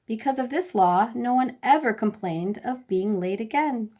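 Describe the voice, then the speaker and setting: A woman storyteller reading a children's bedtime story in a deliberate, expressive way. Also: a little high.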